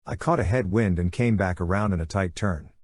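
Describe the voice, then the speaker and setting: Male audiobook narrator. Slightly deep, rough-edged voice, intense dramatic storytelling. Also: brisk, a little mellow, dry recording, clean studio sound. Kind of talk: dramatic first-person fiction narration.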